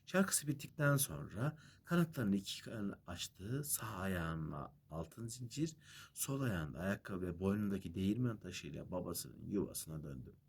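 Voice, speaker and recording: A man reading a bedtime fairy tale aloud as an expressive, dramatic storyteller. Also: sits low, moderate pace, a little hiss or hum.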